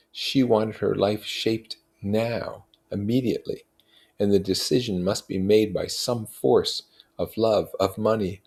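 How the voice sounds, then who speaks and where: A man reading literary prose aloud as narrator, with measured, expressive phrasing. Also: sits low, vocal fry. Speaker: reader.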